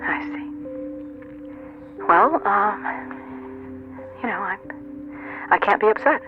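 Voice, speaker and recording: A woman's voice: medium pitch, lively intonation, drawn-out words. A woman playing a worried, wary reporter's wife in an audio drama, guarded and clipped, heard through a telephone. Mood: worried, resigned. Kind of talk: naturalistic audio drama acting. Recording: dull phone sound with music behind.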